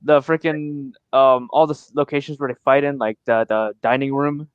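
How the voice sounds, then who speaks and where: Smooth-voiced man on a dull line. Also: medium pitch, steady tone, normal speed, no room sound. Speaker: podcast co-host. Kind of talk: casual podcast chat.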